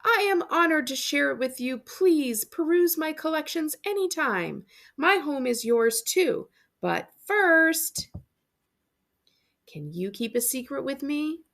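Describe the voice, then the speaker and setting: A woman acts out an eccentric storybook aunt, a retired world adventurer full of warmth. The voice is high with a creaky edge, and the delivery is effusive and grandly theatrical. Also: very animated, slow pace, full character voice. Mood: warm, confiding.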